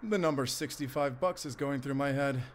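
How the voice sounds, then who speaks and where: A man's voice: medium pitch, normal speed, a little hiss or hum, lightly acted. Man acting as eager, deferential drug-operation manager. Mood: businesslike, confident. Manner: businesslike, explanatory, polite. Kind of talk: roleplay audio drama acting.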